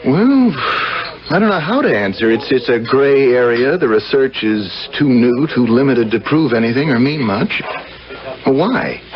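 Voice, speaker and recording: An actor playing a sensible, affectionate brother with an earnest bantering manner in a 1970s radio drama. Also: male voice, mid-range voice, lively intonation, unhurried, lightly acted. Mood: earnest, concerned. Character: frank, affectionate brother, a sensible brain specialist. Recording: muffled old radio broadcast.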